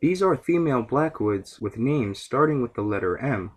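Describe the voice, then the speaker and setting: Slightly husky male podcast narrator. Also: mid-range voice, lively intonation, moderate pace. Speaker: podcast host. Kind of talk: scripted explanatory narration on fantasy lore.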